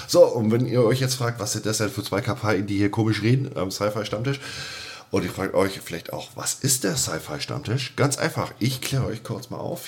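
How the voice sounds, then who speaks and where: A man hosting a casual podcast, chatty and jokey, often repeating words for emphasis. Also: fairly low pitch. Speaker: podcast host.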